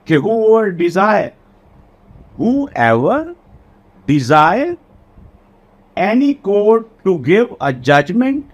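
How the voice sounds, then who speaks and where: A man with a Pakistani accent giving an explanatory lecture as a teacher, deliberate, repeating and rephrasing key phrases. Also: on the high side. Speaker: law lecturer. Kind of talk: explanatory exam lecture, code-switching between Urdu and English legal terms.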